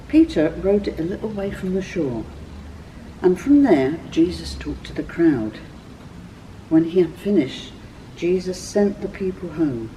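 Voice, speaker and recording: A woman reading a scripted children's story as a church storyteller, with expressive, clearly phrased delivery. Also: fairly low pitch, far from the mic, a little hiss or hum, rough recording.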